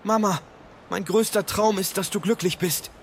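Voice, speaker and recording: A man's voice: sits high, fairly even intonation, normal speed, a little hiss or hum. An actor playing a devoted young son comforting his mother in a sentimental radio drama. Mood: tender, hopeful.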